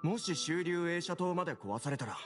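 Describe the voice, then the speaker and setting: A young man acting a brisk, earnest rail-station keeper in an anime game, with matter-of-fact, gruff casual phrasing. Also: a little high, expressive, natural voice. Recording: loud game music behind.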